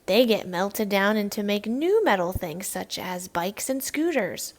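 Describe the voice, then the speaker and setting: A woman's voice: mid-range voice, expressive, measured pace. A woman voicing a patient, enthusiastic male schoolteacher in a children's storybook, explaining things emphatically. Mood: eager explaining.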